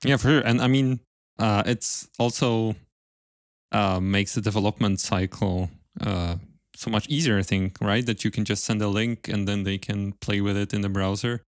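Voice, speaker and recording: A man's voice: lowish voice, fairly even intonation, moderate pace, dry recording, clean studio sound. A man hosting a casual technical podcast, chatting and putting questions to his guest. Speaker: podcast host, interviewer. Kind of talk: casual technical interview chat.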